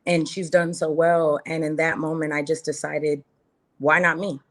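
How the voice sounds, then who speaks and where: Low-pitched woman, emphatic storyteller. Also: little pitch movement, moderate pace. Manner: emphatic, repeats phrases and rhetorical questions. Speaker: interview guest. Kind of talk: casual personal storytelling.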